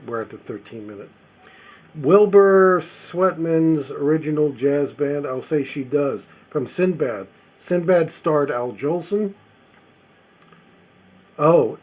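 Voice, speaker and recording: A man with a husky, slightly breathy voice, reading record labels aloud as he sorts them. His delivery is halting and unhurried, full of little asides to himself. His pitch sits in an ordinary middle range. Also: a little mellow, low-quality recording. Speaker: record collector. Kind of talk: casual running commentary while sorting records.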